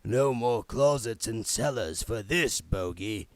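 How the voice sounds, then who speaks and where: A man's voice: on the low side, expressive, takes it slowly, no echo. Male reader as bogeyman, reluctant, tentative, hesitant monster, storybook character, dry sound. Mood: curious. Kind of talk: audiobook character voice.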